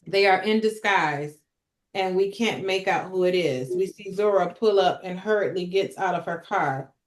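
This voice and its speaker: A woman's voice: fairly low pitch, normal speed. A woman reading stage directions as narrator at a script table read, in a roomy recording. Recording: roomy, echoing sound.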